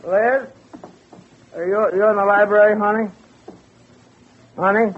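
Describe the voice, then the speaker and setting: A muffled old radio recording with faint music behind a groggy husband. His voice is high and slow, affectionate and searching, in 1940s drama style. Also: fairly even intonation, slight background noise.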